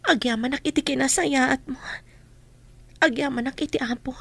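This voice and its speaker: A woman's voice: high-pitched, steady tone, normal speed, vocal fry, fairly bright tone, breathy edge, heavily acted. A woman playing a meek, troubled and prayerful character in an Ilocano-accented radio drama. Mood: sad, grateful.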